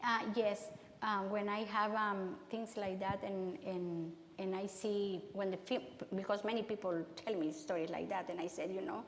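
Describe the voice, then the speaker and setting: A woman with a Spanish accent speaks to a church audience in a conversational, halting way and often starts her sentences again. Her voice sits at a middle pitch. The hall around her echoes, and the reverb rings on after each phrase. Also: steady tone, a little hiss or hum. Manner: conversational, halting, many restarts. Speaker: guest speaker.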